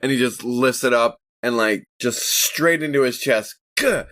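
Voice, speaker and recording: A man playing a tabletop roleplaying game, describing actions and chatting casually in a jokey, animated manner. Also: mid-range voice, a little slow.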